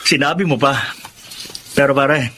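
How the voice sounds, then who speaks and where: An actor playing a jovial, teasing Filipino friend who is a seasoned dad in a radio drama. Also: male voice, medium pitch, measured pace, pushed and bright, slight background noise.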